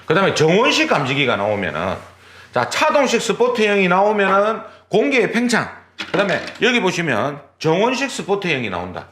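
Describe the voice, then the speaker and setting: A man teaching a certification exam class, his pitch rising and falling widely as he walks through each step. He repeats key terms, points at his drawing, and checks that students follow. The room is echoey, and his voice sits slightly high. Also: sing-song, brisk, fairly bright tone, slight background noise. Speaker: exam prep instructor. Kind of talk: lecture, drawing on a board.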